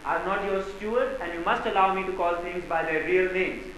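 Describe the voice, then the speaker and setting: Man acting high, blustering hot-tempered gentleman, very echoey. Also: brisk. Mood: mocking. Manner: declamatory, sarcastic, bullying. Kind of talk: theatrical stage farce acting. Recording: very echoey room, faint noise.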